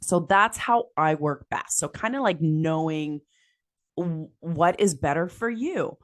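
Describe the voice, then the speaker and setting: Raspy-voiced woman giving chatty podcast tips. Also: low-pitched, lively intonation, takes it slowly. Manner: chatty and upbeat, with many fillers and little laughs. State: raspy voice after being sick. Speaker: podcast host. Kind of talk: solo podcast tips talk.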